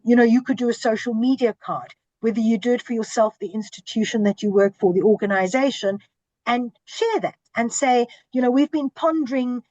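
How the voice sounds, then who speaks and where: A woman's voice: on the high side, no room sound, lo-fi sound. A woman, a senior broadcast journalist, speaking earnestly and emphatically in a panel discussion over a video call. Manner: earnest, emphatic, lists and repeats for stress.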